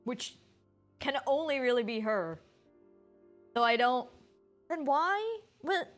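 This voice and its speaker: A woman's voice: high voice, expressive, takes it slowly, a bit bright, faint music behind. A woman streamer giving informal, casual live commentary on a game, with some mild swearing.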